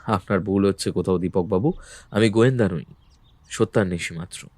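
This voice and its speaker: A man playing a composed, incisive detective in a Bengali audio drama, speaking in a measured, assured, businesslike way. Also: low-pitched, dark tone, no room sound. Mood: calm, probing. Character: composed, incisive male Bengali detective, a self-styled truth-seeker.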